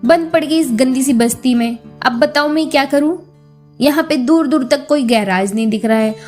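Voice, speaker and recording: A haughty, well-off madam, played by a woman storyteller, fussy and full of disdainful questions. The voice is high, with music behind it. It belongs to a simple moral tale. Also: normal speed, darkish tone, close to an everyday voice. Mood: annoyed.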